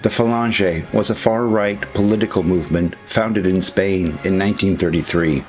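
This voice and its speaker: A man's voice: deep voice, moderate pace, soft background music. A man reading scripted historical narration as a documentary narrator.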